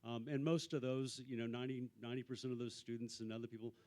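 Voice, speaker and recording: A man at a conference informally explaining from experience in a measured, matter-of-fact way. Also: medium pitch, level tone, darkish tone. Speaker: conference participant.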